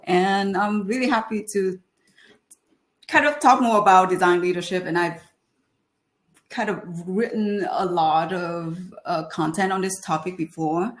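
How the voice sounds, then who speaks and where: A woman's voice: fairly low pitch, steady tone, measured pace. A woman in her thirties from Vietnam with a Vietnamese accent, giving a webinar talk as a design leader, with many fillers and restarts. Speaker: guest speaker, design leader.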